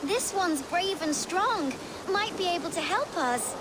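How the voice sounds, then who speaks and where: Brief: brash young street thief girl, very high childlike voice, slangy taunts, game cutscene over noisy ambience. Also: animated, unhurried. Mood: brash, defiant. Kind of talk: video game cutscene acting.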